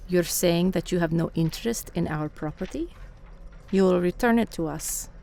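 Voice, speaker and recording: A woman's voice: fairly low pitch, normal speed, gravelly, dark tone. An actress playing a menacing, imperious woman crime boss in a radio drama, speaking in formal, haughty, clipped phrases. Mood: cold menace.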